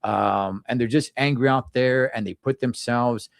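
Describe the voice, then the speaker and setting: A man's voice: mid-range voice, a little slow, creaky, no echo, clean studio sound. A man giving emphatic, opinionated views in a casual panel chat, often repeating words as he goes.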